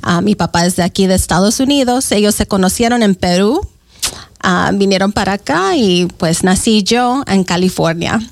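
A woman's voice: mid-range voice, animated, normal speed, vocal fry, no echo. Middle-aged woman, dry studio voice. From Peru. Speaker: school district parent educator, radio guest. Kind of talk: radio interview guest. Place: radio studio.

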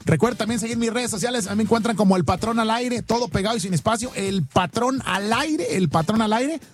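A man's voice: high voice, brisk. A man with a Mexican accent hosting a radio show with casual, slangy, joking banter. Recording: music bed under the voice. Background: music.

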